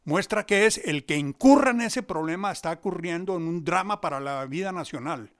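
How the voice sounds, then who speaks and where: Colombian man, higher-pitched, reflective analyst. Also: expressive, normal speed. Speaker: interviewed commentator on theatre and history. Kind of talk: spontaneous analytical talk.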